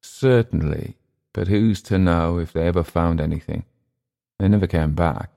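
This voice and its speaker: A low-voiced, world-weary jungle settler, played by a male narrator. He is domineering and mocking, leaning on his words with scornful, teasing weight, as in an audiobook adventure. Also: moderate pace, creaky, mellow. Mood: probing. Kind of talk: audiobook character dialogue.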